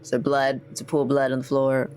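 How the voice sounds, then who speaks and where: A woman's voice: extremely low pitch, monotone, fast, darkish tone, a little hiss or hum. A woman chatting casually and playfully as a player in a tabletop roleplaying game.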